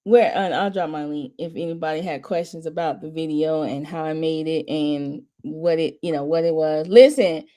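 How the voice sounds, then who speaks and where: This is a woman hosting a casual watch-party chat, her voice sitting on the low side. She rambles and restarts her sentences, mostly in a flat monotone that jumps high now and then, with laughs between thoughts. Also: moderate pace, hardly any pauses. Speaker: host of a watch party stream.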